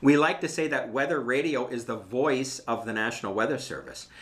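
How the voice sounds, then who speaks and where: A man's voice: medium pitch, lively intonation, brisk, fairly bright tone. A man speaking as a weather service meteorologist, explaining things informally and emphatically, with key phrases repeated. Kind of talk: explanatory interview talk.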